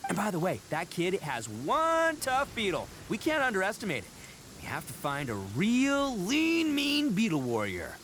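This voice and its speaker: An actor voicing a boisterous horned oni man, a cocky braggart with loud laughs. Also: sits high, huge pitch swings, normal speed, a little hiss or hum, heavily acted. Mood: bragging. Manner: boastful, loud laughs, brash. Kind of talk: game voice acting. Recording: game dialogue over music.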